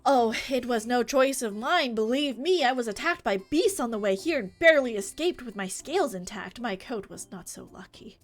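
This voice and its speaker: A woman's voice: fairly high pitch, animated, normal speed, few pauses, close to an everyday voice. Woman voicing a proud, dapper lizardfolk tradesman. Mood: indignant, proud. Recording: music behind the voice.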